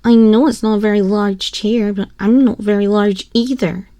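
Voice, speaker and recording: A woman's voice: medium pitch, steady tone, dark tone, no room sound, lightly acted. A woman playing a haughty, condescending young empress in a scripted ASMR roleplay, teasing and imperious, speaking intimately into a close microphone. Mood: teasing, smug. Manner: imperious, slow, theatrical, teasing drawl with breathy whispers. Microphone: close binaural microphone.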